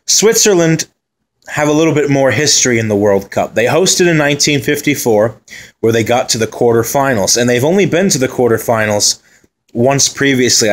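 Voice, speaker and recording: Casual man previewing a football side. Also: medium pitch. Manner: conversational, with fillers and asides. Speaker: football pundit. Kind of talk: solo commentary on team previews.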